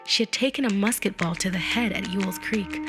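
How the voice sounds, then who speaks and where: A woman narrates a literary audiobook in a deliberate, expressive storytelling manner, with phrase endings that drop to a hush. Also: mid-range voice. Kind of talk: dramatic literary audiobook reading. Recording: musical effects mixed under the voice. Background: music and effects.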